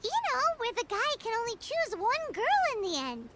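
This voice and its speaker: Super squeaky little floating sidekick girl, bouncy swoopy voice, game music under it. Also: big pitch swings, normal speed, heavy background noise. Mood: chatty. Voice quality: squeaky, childlike, speaks of herself in third person. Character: tiny chirpy floating girl companion, blunt and bubbly. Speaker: companion character. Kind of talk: video game voice acting.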